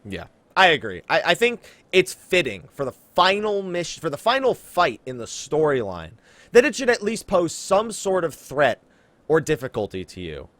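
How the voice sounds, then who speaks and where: A man's voice: fairly high pitch, wildly swooping pitch, normal speed, fairly bright tone, dry recording. A man hosting a gaming podcast, chatting casually and emphatically and reading out listener questions. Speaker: podcast host. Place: indoors, online voice call.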